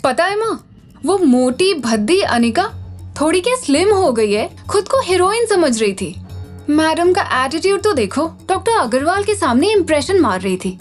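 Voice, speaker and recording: A woman's voice: very high voice, very animated, moderate pace, faint music behind. A woman playing a smug, deceitful young medical colleague in an audio drama, self-assured and catty. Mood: gloating. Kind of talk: Hindi audio drama acting.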